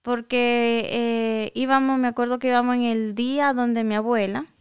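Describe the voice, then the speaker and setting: A woman's voice: fairly high pitch, fairly even intonation, clean tone, no echo, clean studio sound. A young Dominican woman with a Dominican Spanish accent, reminiscing unhurriedly in a casual chat. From Dominican Republic. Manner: unhurried, reminiscing with pauses and restarts.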